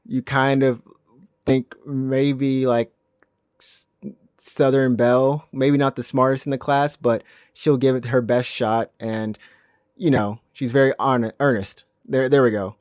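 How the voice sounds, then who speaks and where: A man from Alabama co-hosting a podcast in casual chat, talking in quick bursts with restarts and laughs. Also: mid-range voice, level tone, darkish tone, clear, resonant tone, no room sound, studio quality. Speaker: podcast co-host.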